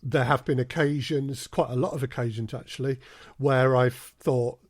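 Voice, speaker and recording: A man speaking as a podcast guest in a casual interview, explaining things in a measured, hesitant way with repeated phrases. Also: medium pitch, fairly even intonation, dry recording, clean studio sound. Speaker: podcast guest, audio drama producer and casting director.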